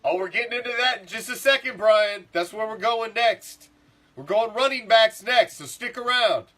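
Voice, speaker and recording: A man's voice: high voice, moderate pace, sharp tone. Heated, foul-mouthed man with a stuffy nose. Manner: heated, swears a lot, repeats himself. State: congested, rough throat from flu. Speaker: sports podcast host. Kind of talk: profane casual sports rant.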